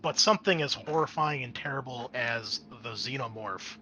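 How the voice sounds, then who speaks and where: A man narrating a tabletop game as game master in a casual, improvised way, often halting to think aloud. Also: medium pitch, pushed and bright. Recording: clear background noise.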